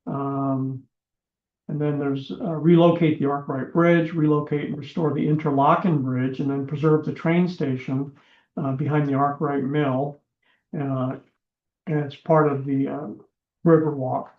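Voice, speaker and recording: A man chairing a town commission meeting, his voice going hoarse, talking haltingly with many fillers. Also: a little high, fairly even intonation. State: losing his voice. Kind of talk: meeting proceedings. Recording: echoey room, dull lo-fi sound.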